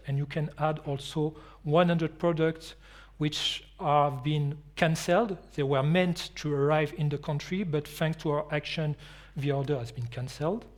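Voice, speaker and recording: A man presents at an industry expo and then takes audience questions. His voice sits a little high. He speaks English with non-native phrasing and stops to restart his sentences often. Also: darkish tone. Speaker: company anti-counterfeiting presenter. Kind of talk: conference presentation and Q&A.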